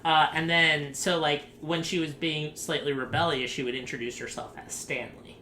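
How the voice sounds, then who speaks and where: Bright-voiced sarcastic man, echoey room. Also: a little high, flat delivery, moderate pace. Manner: rambling, sarcastic, laughs often. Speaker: podcast host. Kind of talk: casual group podcast chat. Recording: echoey room, faint noise.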